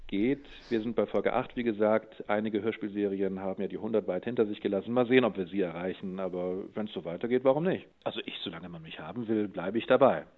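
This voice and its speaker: Deep-voiced man on a phone-like line. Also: quick pace, hardly any pauses. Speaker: interviewed voice actor. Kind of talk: casual interview talk. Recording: tinny, phone-like narrow line.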